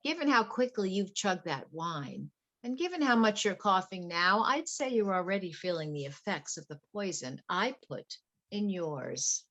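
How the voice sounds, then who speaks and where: A woman's voice: fairly low pitch, lively intonation, normal speed, fairly bright tone, lo-fi sound. A woman playing a betrayed wife turned coolly vengeful poisoner in a dramatic stage play reading. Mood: cold, accusing. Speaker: actor in a play workshop.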